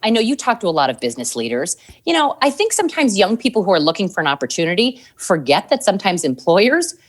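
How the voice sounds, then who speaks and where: A woman in her forties from Minnesota, a TV news anchor, telling stories in an interview and acting out quotes with a laugh. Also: quick pace. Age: 40s. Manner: animated storyteller, acts out quotes with lively pitch jumps; laughs easily while talking. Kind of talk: conversational interview.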